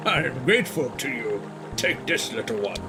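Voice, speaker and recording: A man's voice: medium pitch, very animated, normal speed, loud music behind the voice. A man voicing a quaint, gracious villager from a video game, speaking formally and courteously. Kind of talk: video game dialogue read aloud in character.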